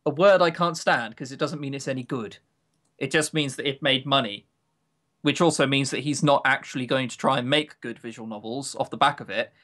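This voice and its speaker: A man's voice: mid-range voice, fairly quick, bright, forceful tone. Grumbling, sarcastic man chatting on a podcast. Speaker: podcast co-host. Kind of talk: casual opinionated podcast chat.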